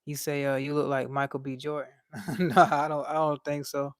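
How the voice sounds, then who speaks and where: A man's voice: a little high, little pitch movement, normal speed, dry recording, clean studio sound. Slangy young man with a light voice. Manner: slangy, laid-back, repeats words. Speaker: livestream host reading chat. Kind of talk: casual livestream chat.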